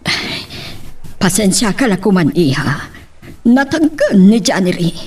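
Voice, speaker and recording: A woman's voice: mid-range voice, big pitch swings, a little slow, a little mellow, slightly rough, distant mic. A woman acting a haughty, scheming, middle-aged rich mother in an Ilocano romantic radio drama. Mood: regretful, coaxing.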